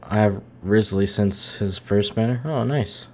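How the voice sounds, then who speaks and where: A man's voice: on the low side, a little slow, a little mellow, a little hiss or hum. A man live-streaming a game, chatting informally and reacting aloud in a joking way. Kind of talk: casual live-stream commentary. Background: game music.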